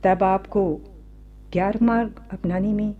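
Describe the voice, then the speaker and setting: A woman playing a devout, pleading young captive princess in a radio drama, speaking softly in formal, plaintive phrases on a muffled recording. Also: medium pitch, steady tone, a little slow, close to an everyday voice. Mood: firm, puzzled. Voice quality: soft, breathy, mellow. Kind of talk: slow, theatrical Hindi radio drama acting.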